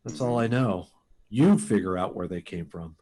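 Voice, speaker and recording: An actor playing a desperate, insistent rocket pilot in an old-time radio science fiction drama, speaking in halting, urgent bursts. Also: male voice, fairly low pitch, expressive, mellow.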